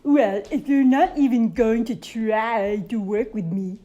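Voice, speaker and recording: Storybook monster, played by a woman: big scruffy boy, slobbery, drawn-out mock menace with a whine. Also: a little high, mellow, dull audio. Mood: whiny, huffy. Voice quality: slobbery, slurping.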